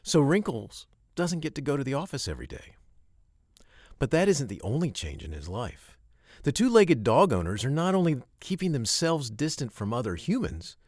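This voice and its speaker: A man narrating a children's story from a script, with expressive, emphatic phrasing and short pauses. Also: a little high, no echo, clean studio sound.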